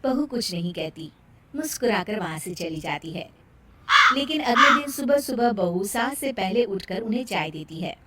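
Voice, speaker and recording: A woman's voice: medium pitch, animated, moderate pace, bright, forceful tone. A woman narrator reading a scripted moral story for a children's cartoon.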